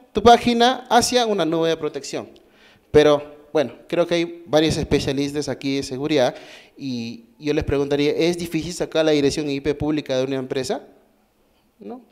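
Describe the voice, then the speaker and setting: A man's voice: fairly high pitch, darkish tone, clean tone. A man with a Peruvian accent giving a technical talk at a conference, explaining step by step, asking the audience questions and often restarting his sentences. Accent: Peruvian Spanish. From Peru. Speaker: conference speaker. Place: conference hall.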